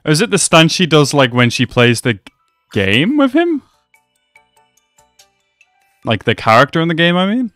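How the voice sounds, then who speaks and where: A man's voice: a little high, big pitch swings, moderate pace, long pauses, a bit bright, dry recording, clean studio sound. Young man reacting casually over music. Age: 20s. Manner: slangy, amused. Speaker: reaction video host. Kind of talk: casual live reaction commentary. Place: small indoor room. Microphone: close desk microphone.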